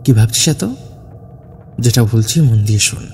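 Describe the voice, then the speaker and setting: A man's voice: mid-range voice, moderate pace, mellow, faint music behind, voice pushed down, natural voice. A man voicing a self-assured, obsessed young man who explains earnestly and persuasively in an audio horror story. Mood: insistent.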